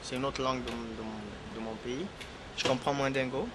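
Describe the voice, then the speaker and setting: A man's voice: mid-range voice, fairly even intonation, a little fast. Hesitant man over traffic noise. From Guinea. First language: Fula. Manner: hesitant, repeats words, frequent "tu vois" fillers. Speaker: singer being interviewed. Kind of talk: casual interview chat.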